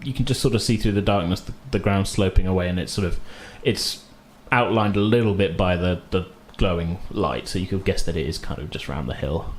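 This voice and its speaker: A man acting as game master, narrating a fantasy tabletop game casually and haltingly as he searches for words. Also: deep voice, a little hiss or hum.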